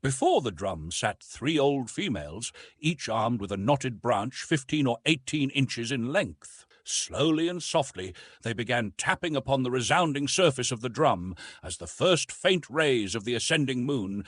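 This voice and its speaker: A man narrating a classic adventure novel as an audiobook, in a theatrical, measured and emphatic way. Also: medium pitch, sing-song, fairly bright tone, husky, no room sound, studio quality.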